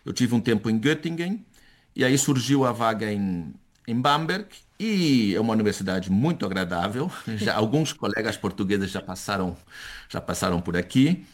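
An older man, an academic, answering in a conversational interview, halting and repeating words as he searches for them. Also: lowish voice, expressive. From Chile-born son of Brazilian exiles, later Spain. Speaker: academic, university professor, interview guest.

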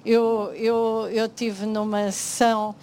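A woman with a European Portuguese accent, speaking as a panelist on food science, halting often with restarts and "eh" fillers. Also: fairly high pitch, flat delivery, mellow, slight background noise. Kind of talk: panel discussion.

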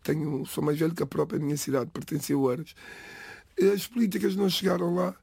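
Middle-aged lisbon guy, cape verdean creole first language, gravelly husky voice, slow halting testimony. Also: a little high, a little mellow, no echo. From Portugal, Lisbon area. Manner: halting, restarts phrases, pauses between words. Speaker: interviewee. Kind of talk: reflective personal testimony.

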